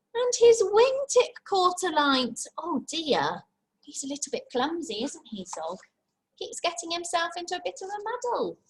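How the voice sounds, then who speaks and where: A woman's voice: sits high, low-quality recording. A woman teacher reading a picture book aloud to young children, expressively, with simple chatty asides and questions. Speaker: infant school teacher.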